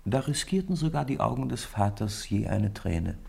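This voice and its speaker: A man narrating a 1950s radio play in a calm, measured storybook manner. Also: fairly low pitch, creaky, slightly rough. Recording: 1950s radio studio recording.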